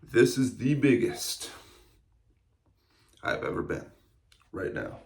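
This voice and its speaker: A man's voice: medium pitch, sing-song, slow, creaky, slight background noise. A man in his early twenties talking casually to camera in a rambling, reflective vlog with many fillers. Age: 20s. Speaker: fitness vlogger.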